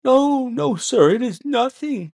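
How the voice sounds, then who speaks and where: A frightened male butler, put on by a male reader in a high, strained voice that climbs far above the teller's own. He pleads and dodges, his lines loud and tight with fear. An audiobook figure voiced in a dry, close sound. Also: animated, slow pace, creaky, dry recording, heavily acted. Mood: pleading.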